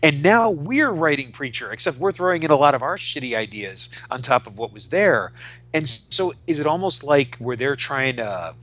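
A man's voice: medium pitch, lively intonation, moderate pace, fairly bright tone. A man in his forties co-hosting a podcast, chatting casually and with strong opinions, ranting and swearing freely. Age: 40s. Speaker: podcast host.

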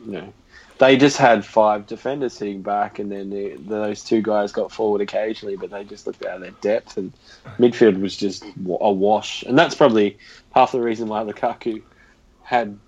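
A man's voice: on the low side, fairly even intonation, moderate pace, a little mellow, husky. A man chatting casually as a panelist on a football podcast, recorded in slightly lo-fi sound. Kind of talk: casual chat. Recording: slightly dull lo-fi audio.

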